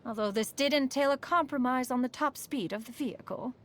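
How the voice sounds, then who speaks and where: A woman's voice: high voice, fairly quick, lightly acted. A woman playing a proud, refined female immortal in a video game, speaking in a formal, stately manner, aloof yet fond beneath it. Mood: wry reminiscence. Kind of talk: scripted video game character monologue.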